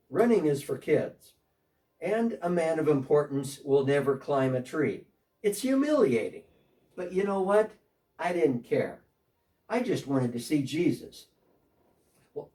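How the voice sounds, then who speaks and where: Short, earnest tax collector recounting his change of heart , played by a man, lively up-and-down delivery, mellow tone, miked from a distance. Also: mid-range voice. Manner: earnest storytelling, talking as if on a phone call. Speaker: dramatic reader. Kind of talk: first-person dramatic monologue.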